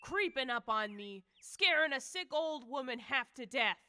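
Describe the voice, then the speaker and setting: A woman's voice: high voice, animated, normal speed, pushed and bright, clear, resonant tone. A woman playing a bitter, grumbling, folksy old peasant woman in old-time radio horror style. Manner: querulous, scolding, folksy.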